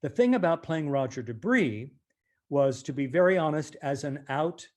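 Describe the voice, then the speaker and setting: An American man, an actor being interviewed, speaking reflectively and deliberately, leaning on key words for emphasis. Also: on the high side, animated. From United States.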